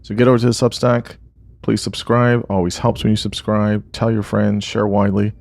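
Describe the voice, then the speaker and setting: A man hosting a podcast, introducing the episode and his guest in a relaxed way with many fillers and restarts. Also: fairly low pitch, moderate pace, creaky. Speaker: podcast host.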